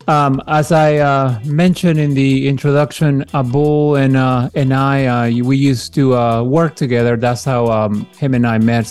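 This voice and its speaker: A man's voice: mid-range voice, darkish tone, smooth. A man from Peru hosting a podcast interview, talking casually with many fillers and restarts over a video call. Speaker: podcast host.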